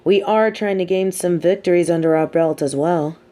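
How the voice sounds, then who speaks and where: A woman playing a sardonic, scheming supervillain in a scripted audio roleplay, with a theatrical, measured, wry delivery and grudging sympathy. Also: lowish voice, mellow, natural voice. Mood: probing, intrigued. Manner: theatrical, measured, wry, drops into muttered asides.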